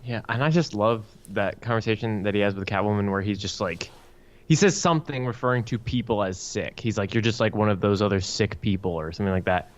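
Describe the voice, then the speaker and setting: A man's voice: fairly low pitch, brisk. A man chatting casually as a podcast co-host. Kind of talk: casual chat. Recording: dull lo-fi sound, music behind.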